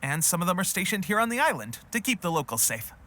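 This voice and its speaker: A man's voice: high voice, sing-song, fast talker, over loud music. A man voicing an eager, friendly young resistance soldier in a video game, chatty and earnest. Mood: upbeat, helpful. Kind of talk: video game character acting.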